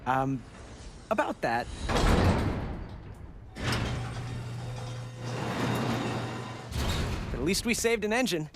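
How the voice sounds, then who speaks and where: An actor playing an eager, brave young boy in a video game, with an earnest, excitable manner. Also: a little high, slow pace, heavy background noise. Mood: sheepish. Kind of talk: animated video game voice acting.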